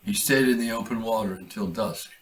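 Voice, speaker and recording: A man reading aloud a short story he wrote, in a measured, deliberate way. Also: on the low side, distant mic. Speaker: author reading his own story.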